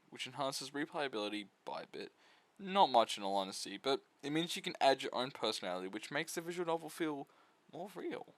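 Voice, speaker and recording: A man's voice: medium pitch, a bit bright, no room sound. A man giving a casual video essay review of visual novels, full of flippant, irreverent asides.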